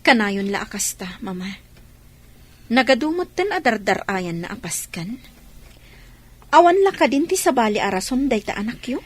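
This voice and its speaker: A woman's voice: a little high, sing-song, moderate pace, pauses often. An actress with a Filipino accent playing a weary, hurt wife and mother in a radio drama. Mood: weary, aggrieved. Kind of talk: Ilocano radio drama acting.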